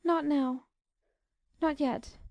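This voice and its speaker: A woman acts out a gentle teenage girl from a storybook, hiding her sorrow. Her voice is high, soft and quavering, and she speaks slowly and tearfully in a very dry, close recording. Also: fairly even intonation, no room sound, exaggerated voice. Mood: subdued, soothed.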